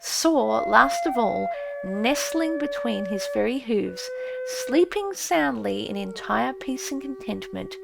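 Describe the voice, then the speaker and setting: A woman narrating a literary audiobook in a solemn, expressive, dramatic storytelling manner. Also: fairly high pitch, slow pace, creaky, rough recording. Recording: prominent music under the voice. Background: music and effects.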